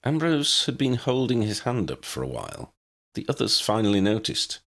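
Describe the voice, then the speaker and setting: A man with a low-pitched voice narrates a story from a script. His delivery is highly animated, with pitch moving widely from phrase to phrase. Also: moderate pace, gravelly. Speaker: audiobook narrator reading own fan fiction.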